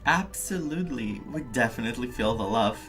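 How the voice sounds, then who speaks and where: A man's voice: mid-range voice, animated, fairly quick, fairly bright tone, soft background music. A man who is not a native English speaker gives casual, reflective live commentary as a game streamer, searching for words.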